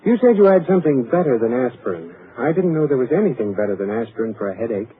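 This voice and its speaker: An actor playing an earnest, anxious husband. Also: male voice, mid-range voice, very animated, close to an everyday voice. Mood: puzzled. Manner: earnest, quick to alarm. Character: anxious young husband, growing frantic. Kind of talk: 1940s radio acting. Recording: tinny, hissy 1940s radio broadcast.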